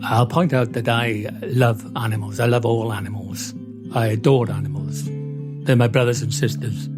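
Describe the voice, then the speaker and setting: A man introduces his performance over faint music. His pitch is average for a man, and he talks earnestly, stressing and repeating his points. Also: fairly even intonation, moderate pace. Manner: earnest, emphatic, repetitive.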